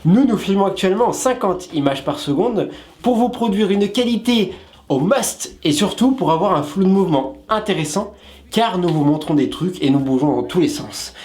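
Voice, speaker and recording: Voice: medium pitch, lively intonation, moderate pace, darkish tone. A co-host of a web show explaining things casually, with playful asides to the viewers.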